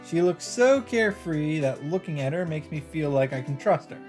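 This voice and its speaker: A man's voice: a little high, expressive, normal speed, a little mellow. A man hosting a let's play, reading visual novel narration aloud with casual asides. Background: game music.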